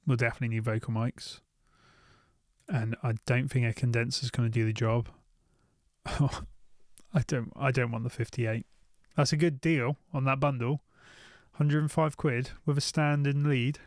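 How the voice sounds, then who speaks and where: A man's voice: mid-range voice, fairly even intonation, unhurried, long pauses, dry recording, clean studio sound. A British man giving casual, unscripted commentary as a gear reviewer, thinking aloud and often repeating his phrases.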